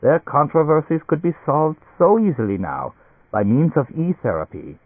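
Storybook German doctor: fussy, effusive, giggly temperament, lifted animated voice, thin lo-fi sound. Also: male voice, fairly high pitch, moderate pace, slightly rough, dry recording, pitched above the performer's own voice, heavily acted. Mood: beaming, enthusiastic. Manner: effusive, broken English sprinkled with German words. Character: male German doctor, excitable, giggling, fussily reassuring. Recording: tinny band-limited radio-like sound.

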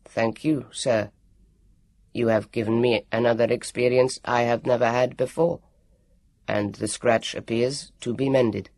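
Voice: mid-range voice, steady tone, unhurried, a little mellow, no echo, voice pushed down, natural voice. A storybook narrator voicing a proud male android who speaks in a formal, precise and polite way.

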